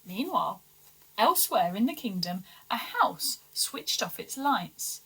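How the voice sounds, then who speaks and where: A woman reading a children's storybook aloud with expressive, deliberate, dramatic emphasis. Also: medium pitch, slightly rough, rough recording. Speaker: story reader for schoolchildren.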